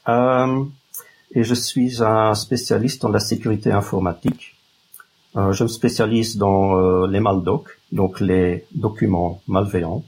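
A man's voice: lowish voice, fairly even intonation, measured pace, a little mellow, slightly rough, low-quality recording. A Flemish man, a native Dutch speaker with a Flemish accent, explaining as an expert guest and often searching for words. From Flanders, Belgium. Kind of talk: explanatory interview talk.

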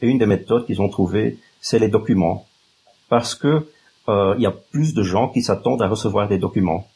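A man from Flanders explains things as a guest expert, with a Flemish accent from his native Dutch. His voice is fairly low and husky, and he feels his way through sentences. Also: moderate pace, darkish tone. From Flanders, Belgium. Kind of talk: explanatory interview talk.

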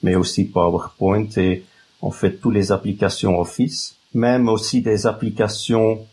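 A man's voice: fairly low pitch, flat delivery, measured pace, a little mellow, breathy edge. A Flemish man, a native Dutch speaker with a Flemish accent, explaining as an expert guest and often searching for words. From Flanders, Belgium. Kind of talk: explanatory interview talk.

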